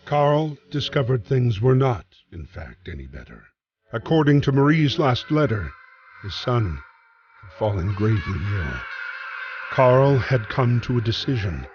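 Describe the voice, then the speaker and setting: A man's voice: medium pitch, big pitch swings, measured pace. A man narrating a wartime story in a scripted video game style. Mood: solemn storytelling. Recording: dull sound with music behind. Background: music.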